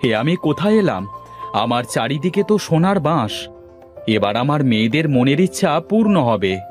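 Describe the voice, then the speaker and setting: A man voicing a poor, earnest village father in a cartoon fairy tale, exclaiming and expressive. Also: medium pitch, moderate pace, faint music behind, lightly acted. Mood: amazed.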